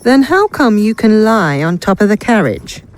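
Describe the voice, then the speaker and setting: A woman's voice: medium pitch, sing-song, moderate pace, lightly acted. A woman playing an inquisitive, stubborn warrior in a fantasy audio drama, questioning and confident. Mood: skeptical.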